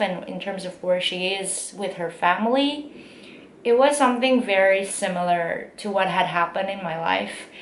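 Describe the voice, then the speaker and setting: A young Korean woman speaks in a relaxed, unhurried way, her American-style English shaped by a Korean accent. She pauses often and fills the gaps with soft ums and likes. Her voice sits at an ordinary middle pitch and rings back from an echoey room. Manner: unhurried, many fillers and pauses. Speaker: aspiring actress. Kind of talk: casual storytelling.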